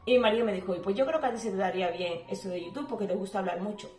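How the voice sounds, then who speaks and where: A talkative woman in her thirties answers viewer questions from her bedroom, speaking casually to a camera at desk distance. Her voice sits in a middle pitch and carries the echo of the room, with faint music underneath. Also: rapid-fire, a little mellow. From Cuba. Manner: chatty, talks a lot, frequent fillers. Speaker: home vlogger. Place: bedroom at home, indoors.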